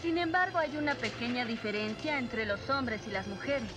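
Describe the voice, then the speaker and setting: A woman voicing a confident, wry young warrior in a Latin American Spanish cartoon dub, crisp and earnest. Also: a little high, moderate pace, close to an everyday voice. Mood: wry, playful. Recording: loud music behind the voice.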